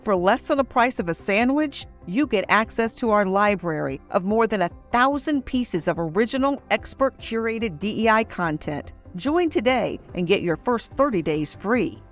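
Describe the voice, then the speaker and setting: Lively woman reading an ad. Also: medium pitch, normal speed, few pauses, soft background music. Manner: upbeat, persuasive. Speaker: ad announcer. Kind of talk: scripted ad read.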